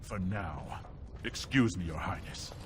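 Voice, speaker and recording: A man's voice: sits low, unhurried, background noise. An actor playing a proud, haughty nobleman in a fantasy game, indignant and accusing as he defends his house. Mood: offended, curt. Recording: music behind the voice.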